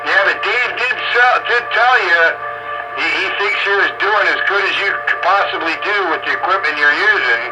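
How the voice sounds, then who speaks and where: A man's voice: sits high, animated, normal speed, loud music behind the voice. A man with a folksy, ribbing manner, chatting casually over two-way radio as an operator. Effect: two-way radio transmission. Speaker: radio operator fielding breakers. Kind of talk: casual CB radio chat with teasing. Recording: noisy CB radio transmission.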